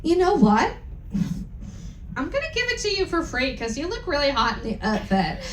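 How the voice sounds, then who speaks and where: A woman's voice: high-pitched, sing-song, moderate pace, a little hiss or hum. Woman doing a jaded, flirty goth shop guy. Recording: roomy live venue sound.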